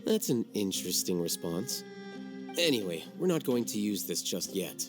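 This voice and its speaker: A man playing a composed, aloof young scholar in a video game, speaking in a measured, matter-of-fact and articulate way. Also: lowish voice, very animated, over music. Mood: cool, businesslike. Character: composed, aloof young male scholar, calculating and self-assured.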